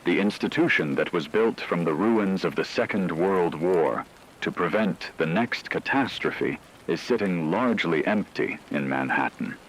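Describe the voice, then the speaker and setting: A low-pitched man acting as a grave radio newsreader delivers scripted bulletins on a fictional emergency broadcast. He is formal, measured and solemn, with a light bed of noise and rain behind him. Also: animated, rough recording. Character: grave male radio newsreader reporting global collapse. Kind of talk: scripted news narration.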